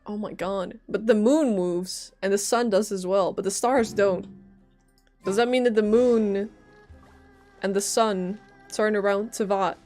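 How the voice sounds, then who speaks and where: Female streamer, medium-pitched, chatty live gameplay commentary, thinking out loud, soft game music underneath. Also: fairly even intonation, a little slow. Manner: musing aloud, informal, reactive. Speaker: game livestreamer.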